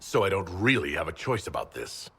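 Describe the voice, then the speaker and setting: A man's voice: deep voice, big pitch swings, a little fast, gravelly, sharp tone, exaggerated voice. An actor playing a bewildered, earnest male prisoner in a fantasy video game, hesitant and questioning. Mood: wary, questioning. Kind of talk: dramatic video game acting. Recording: long hall reverb, faint music.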